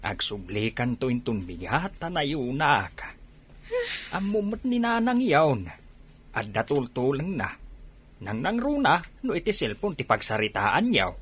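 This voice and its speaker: A man's voice: medium pitch, very animated, a little slow, fairly bright tone, slight background noise. A man acting an easygoing old village husband and farmer in a radio drama.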